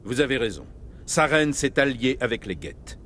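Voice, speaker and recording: A commanding middle-aged starship captain in a video game. He is grave and measured and speaks with military authority. A faint rumble sits behind the voice. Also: very animated, a bit bright. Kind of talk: dramatic video game dialogue.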